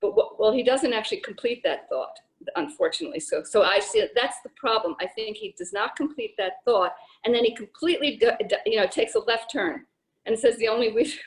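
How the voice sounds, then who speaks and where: A woman with a mid-pitched voice takes part in a discussion of a poem. She gives her opinions bluntly, often breaking off and starting again in a halting way. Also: poor audio. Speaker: participant in a poetry discussion.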